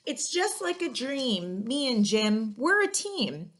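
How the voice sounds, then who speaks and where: A woman with a fairly high voice hosts a read-aloud for children. She phrases things clearly, in the manner of someone telling a picture-book story to young listeners. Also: big pitch swings, slow. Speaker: museum educator and read-aloud host.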